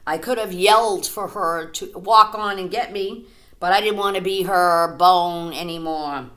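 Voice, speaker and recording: A woman voicing a friendly, bold talking chicken bone, a male character in a children's story, with loud, emphatic delivery. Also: fairly low pitch, measured pace.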